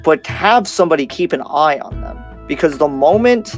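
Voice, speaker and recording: Man playing higher-voiced, skeptical demon slayer. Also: lively intonation, soft background music, lightly acted. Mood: wary, firm. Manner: halting, repeats phrases while reasoning. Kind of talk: improvised video game roleplay.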